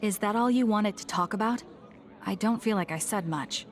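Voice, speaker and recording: A woman's voice: medium pitch, moderate pace, faint music behind, lightly acted. An actress playing a cool, blunt, determined young female soldier in a video game, reserved and matter-of-fact. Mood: reflective.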